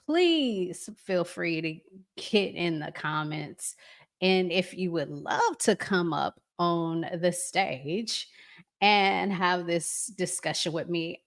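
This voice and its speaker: A woman hosting a live stream, giving casual, conversational commentary to viewers with deliberate pauses. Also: lowish voice, gravelly, fairly bright tone.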